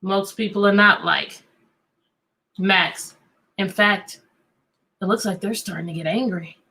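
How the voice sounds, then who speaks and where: A woman teacher hosting an interactive read-aloud for children, talking in an animated way and putting questions to her young listeners. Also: mid-range voice, measured pace, vocal fry. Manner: animated, asking listeners questions and repeating them.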